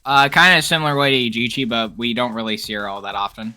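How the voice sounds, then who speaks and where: A young man chatting casually as a co-host, excitable and slangy, swearing freely. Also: medium pitch, quick pace. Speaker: co-host ranking anime characters. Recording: music playing behind the voice. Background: music.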